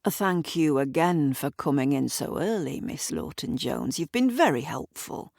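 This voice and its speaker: A woman's voice: sits low, lively intonation, unhurried, vocal fry, darkish tone, dry recording, studio quality, exaggerated voice. A woman playing a commanding police detective inspector in an audio drama, with clipped official politeness. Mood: brisk, formal.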